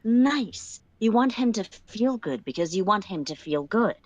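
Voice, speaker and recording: Woman voicing a slow, sneering evil living crown. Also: mid-range voice, expressive, natural voice. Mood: sneering, exasperated. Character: sneering, power-hungry living crown, invading a dreamer's mind.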